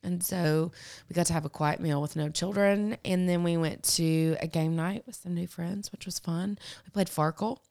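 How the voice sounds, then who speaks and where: A woman in her thirties, a podcast co-host, chatting casually and telling stories with animated, laugh-filled energy. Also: lowish voice, normal speed. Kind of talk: casual chat.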